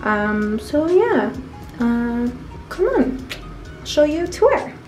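Young woman vlogger, slow easygoing delivery, slightly high voice, laughs often, music under her. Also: poor audio. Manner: chatty, laughs often, relaxed and rambling. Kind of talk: casual vlog chat. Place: small quarantine apartment room, indoors. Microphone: camera on a desk, later handheld camera. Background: background music.

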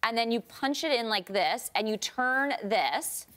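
A woman's voice: fairly high pitch, lively intonation, unhurried, fairly bright tone, a little hiss or hum. Upbeat chatty woman demoing DIY. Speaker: lifestyle expert guest. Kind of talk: bubbly DIY demonstration on daytime TV. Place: TV studio set with live audience.

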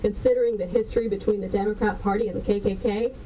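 A woman's voice: mid-range voice, fairly even intonation, fast. A woman delivering scripted political commentary with a sarcastic tone, full of rhetorical questions. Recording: distant voice with loud road noise.

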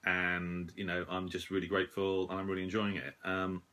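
A man in his forties giving a casual, modest, hesitant update to camera in a small room, sounding slightly rough and husky after a late night. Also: sits low, monotone, fairly quick, bright, forceful tone. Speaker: shortwave radio hobbyist and video host.

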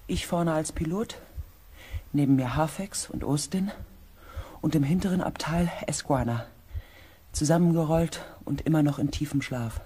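A man playing a shaken, earnest expedition pilot in a science fiction radio play, with weighty, hushed dramatic delivery. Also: fairly high pitch, normal speed, slightly rough. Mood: hushed, tense.

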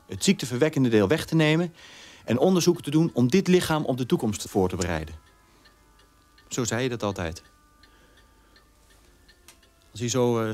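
An actor playing a reflective son in his twenties, speaking a measured monologue in a radio drama. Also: medium pitch, darkish tone, close to an everyday voice. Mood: wistful. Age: 20s. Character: reflective 28-year-old son remembering his quiet father.

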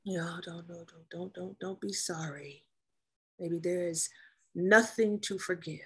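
An actress plays a protective caretaker and naval officer, steady and soothing. Her voice is very breathy and husky, rather low, and unhurried in this drama reading. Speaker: actress at a table read.

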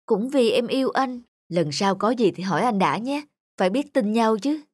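Patient young cartoon husband. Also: male voice, high voice, lively intonation, moderate pace. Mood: patient, reassuring. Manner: earnest, explanatory. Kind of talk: animated cartoon drama acting.

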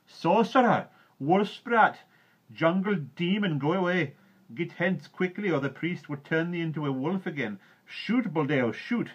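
A man voicing hostile, superstitious villagers in a storybook, shouting shrilly. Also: fairly high pitch, expressive, unhurried, husky, highs cut off, pitched above the performer's own voice. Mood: alarmed, hostile. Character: angry mob of superstitious villagers and priest.

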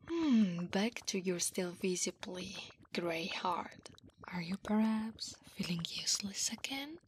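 A woman playing an affectionate, teasing slime-monster wife in an intimate, breathy ASMR roleplay whisper. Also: mid-range voice, a little hiss or hum, close to an everyday voice. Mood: playful, fond, then reassuring. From Italy. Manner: slow, intimate, soothing.

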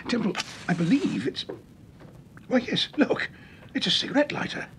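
An actor playing a commanding British police chief in a radio drama, brisk and authoritative. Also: male voice, very high voice, very animated, background noise, full character voice. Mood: puzzled, intent. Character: commanding senior Scotland Yard police chief. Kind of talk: mid-century British radio drama acting.

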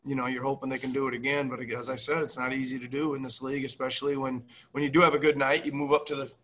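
A man's voice: medium pitch, little pitch movement, fast talker, bright, forceful tone, rough recording. A middle-aged man, a basketball head coach, answering questions at a post-game press conference over a video call, rambling and restarting his sentences. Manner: rambling, repeats words and restarts. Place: small room before a team backdrop. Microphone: video call webcam.

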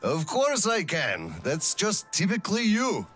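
Wry, slow-spoken colonel. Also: male voice, high-pitched, wildly swooping pitch, a bit bright, soft background music, exaggerated voice. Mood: wry, reflective. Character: weary, wry male colonel and devoted father. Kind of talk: video game dramatic acting.

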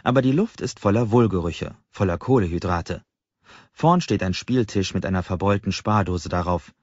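A man's voice: sits low, moderate pace, darkish tone, no room sound, highs cut off. A man narrating a first-person novel as an audiobook in a scripted literary reading style.

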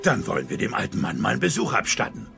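A man playing a gruff, commanding Viking chief with a gravelly voice in a cartoon audio drama. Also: medium pitch, wildly swooping pitch, racing, over music, full character voice. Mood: curt.

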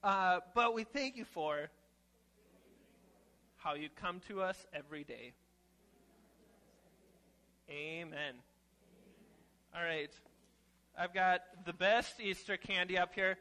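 A young man giving a playful, casual children's sermon in an animated, informal way, exclaiming as he goes. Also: high voice, takes it slowly, pauses often. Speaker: children's message leader at church. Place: church sanctuary, seated on chancel steps among children.

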